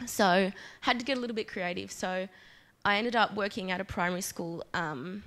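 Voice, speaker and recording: Young woman, mid-pitch, croaky, casual storyteller. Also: normal speed, fairly bright tone. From Australia. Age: young adult. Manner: casual, personal storytelling with many ums. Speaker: panellist, dance school director. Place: conference hall.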